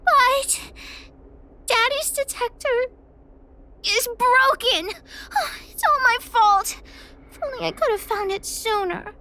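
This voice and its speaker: A woman's voice: very high-pitched, slow pace, long pauses, bright, forceful tone, slight background noise, exaggerated voice. A woman voicing an earnest, childlike little girl devoted to her daddy in a video game. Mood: tearful, guilty. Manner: speaks of herself in third person, childish.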